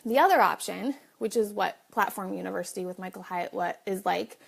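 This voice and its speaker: A woman's voice: medium pitch, brisk, vocal fry, slightly rough. Chatty woman with a slight cough. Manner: chatty, rambling, addresses viewers directly. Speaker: livestream host. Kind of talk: casual live video chat.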